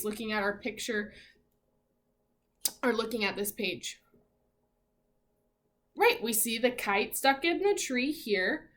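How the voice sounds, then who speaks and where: A woman with a fairly high voice speaks as an elementary school teacher guiding a read-aloud. She puts animated emphasis into her delivery and often poses questions to young listeners, leaving room for answers. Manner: asks questions and pauses as if hearing answers. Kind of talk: interactive read-aloud lesson for young children.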